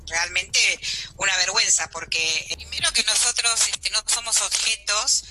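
A woman's voice: medium pitch, moderate pace, sharp tone, poor audio. A woman, a union leader, firmly denouncing in a radio interview. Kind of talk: firm public denunciation in interview.

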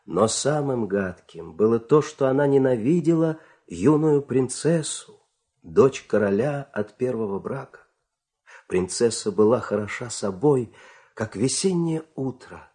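A man narrating a fairy-tale audio play in a theatrical, expressive way, with dramatic pauses. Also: mid-range voice. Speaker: storyteller, narrator.

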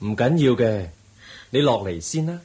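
An actor playing the Buddha as a calm, patient teacher in a Cantonese radio drama, speaking in a measured, instructive way. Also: male voice, medium pitch, breathy edge. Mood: teaching. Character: calm wise male Buddha teaching gently.

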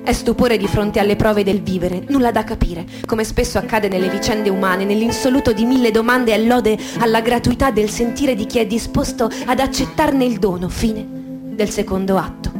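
A woman gives a dramatic literary reading in the manner of a radio theatre monologue, intimate and poetic, with deliberate pauses. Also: fairly high pitch. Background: music with guitar and sound design.

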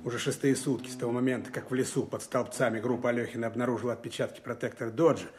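An actor playing a dry, exacting counterintelligence lieutenant colonel, terse and commanding, in a wartime audio drama. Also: male voice, medium pitch, steady tone, a little mellow, close to an everyday voice. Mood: matter-of-fact narration. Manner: terse, commanding, deliberate questioning. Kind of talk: Russian wartime audio drama, narration and dialogue.

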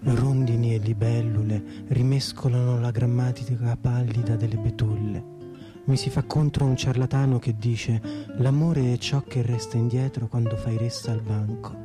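An actor playing a whimsical poet, reciting surreal soliloquies for radio theatre with deliberate, declamatory phrasing over plucked guitar. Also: male voice, mid-range voice, steady tone, natural voice. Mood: dreamy, lyrical.

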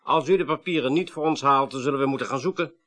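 Actor as police detective guy, mid-pitched, measured and formal, pushes politely, radio drama. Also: fairly bright tone, slight background noise, lightly acted. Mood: slyly threatening. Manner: measured, slyly insistent, formal. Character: firm, politely pressing male police detective. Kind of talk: 1980s Dutch radio play acting.

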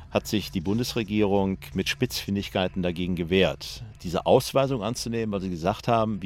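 A man speaking as an expert, explaining in an unscripted, slightly halting documentary interview style. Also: low-pitched. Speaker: expert commentator.

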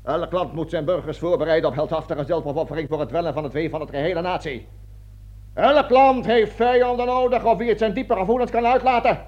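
An actor playing a pompous, opportunistic army colonel in a radio play, speechifying grandly between barked commands and hushed asides. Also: male voice, high voice, lightly acted. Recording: dull, lo-fi radio play recording.

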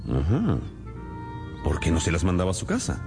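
A man's voice: low-pitched, huge pitch swings, normal speed, over music, heavily acted. An actor playing a shrewd, composed male detective in a radio drama, questioning formally with thoughtful murmurs. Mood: probing. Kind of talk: Spanish-language radio drama acting.

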